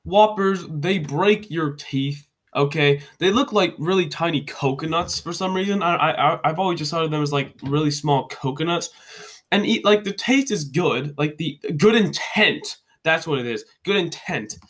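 A man's voice: a little high, moderate pace, fairly bright tone. A congested young man giving a joking, rambling rundown of candy rankings into his webcam at home. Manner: joking, rambling, self-correcting. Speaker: vlogger. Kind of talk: casual ranking commentary.

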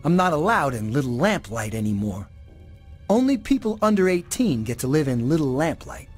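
Eager, whiny teen boy for a video game; chatty, naive, very animated delivery, music underneath. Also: on the high side, moderate pace. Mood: eager, persuasive. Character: eager, whiny teenage boy, newly exiled.

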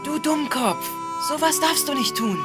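A woman's voice: sits high, lively intonation, measured pace, over loud music. A woman playing a bold, confident young schoolboy with an assertive, direct manner in a children's cartoon audio drama. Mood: upset. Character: bold confident fifth-grade boy, leader of friends.

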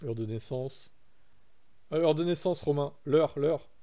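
A man's voice: fairly high pitch, a little slow, warm tone. A young man from France chatting casually on a live stream, reacting to his chat and reading text aloud.

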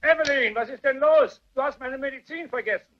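A man's voice: very high voice, brisk, highs cut off, slight background noise. An older actor playing a cantankerous, miserly grandfather who grumbles and barks sarcastically in a radio play. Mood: bellowing, impatient. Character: cantankerous, miserly old grandfather, suspicious invalid tyrant. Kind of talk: German radio play acting.

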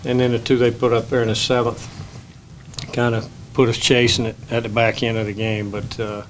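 An older man, a baseball head coach, answering questions at a postgame press conference in a plain, measured way at a table microphone. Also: medium pitch, monotone, slight background noise, poor audio. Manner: measured, plain-spoken, many restarts. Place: press room. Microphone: table mic.

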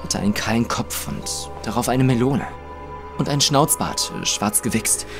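A man's voice: medium pitch, moderate pace, close to an everyday voice. Actor doing a young guy, plain talking, wary, audio drama, music in the back. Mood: confiding. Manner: plain-spoken, guarded. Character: young working-class man, Emma's brother. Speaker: witness.

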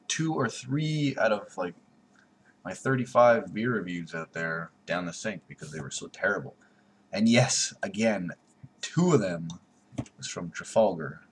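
A man's voice: lowish voice, a little hiss or hum. A man, reviewing a beer to a webcam at home in a blunt, sarcastic way. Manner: blunt, sarcastic, jumpy in loudness. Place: small room at home, indoors. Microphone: webcam at desk.

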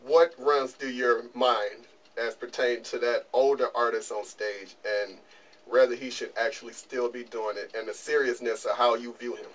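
A man's voice: mid-range voice, steady tone, normal speed, fairly bright tone. A middle-aged man hosting a small interview show, asking questions in a plainspoken, joking way. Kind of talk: casual interview. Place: small office studio. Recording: distant camera mic with faint room noise.

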